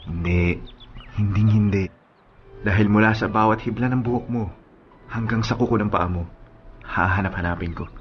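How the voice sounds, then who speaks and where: Actor as devoted young boyfriend, tender and earnest. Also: male voice, lowish voice, steady tone, moderate pace, pauses often, dull audio, a little hiss or hum, natural voice. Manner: tender, flowery declarations of love. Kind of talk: radio drama acting.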